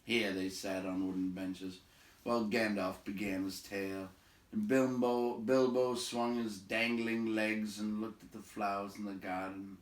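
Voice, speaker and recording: A man's voice: on the low side, level tone, measured pace. A man reading a storybook aloud as narrator. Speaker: audiobook reader. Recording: echoey room, slightly far from mic.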